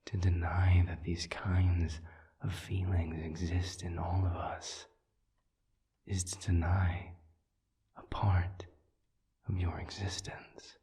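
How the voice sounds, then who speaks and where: Male actor as calm demon counselor, very low pitch, breathy half-whisper, extremely slow, deliberate pauses, gently earnest, intimate audio roleplay. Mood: soothing, earnest. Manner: measured, deliberate, pausing between phrases. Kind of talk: intimate audio roleplay, soft-spoken monologue to listener.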